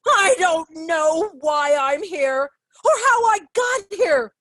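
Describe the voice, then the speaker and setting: Woman doing a shrill paranoid spy hunter. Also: lively intonation, slow, no room sound, exaggerated voice. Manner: frantic, shouty, conspiratorial. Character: paranoid frantic spy-hunting parent. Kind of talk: amateur theatre acting.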